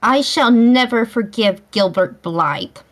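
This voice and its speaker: A woman reading a storybook, voicing an earnest, dramatic schoolgirl who speaks with deliberate dignity. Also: fairly high pitch, animated. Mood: wounded dignity.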